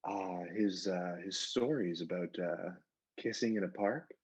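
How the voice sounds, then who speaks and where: A man calling in to a phone-in show, chatting casually in a halting, tentative way. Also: low-pitched, a little mellow, breathy edge. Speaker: phone-in caller. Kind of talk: hesitant casual phone chat.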